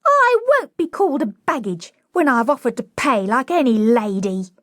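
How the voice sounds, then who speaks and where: A woman's voice: sits high, sing-song, slow, dry recording, clean studio sound, pitched above the performer's own voice, heavily acted. A woman voicing a proud, indignant young Cockney flower girl, saucy and whining, in a dramatic play reading. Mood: wounded wailing.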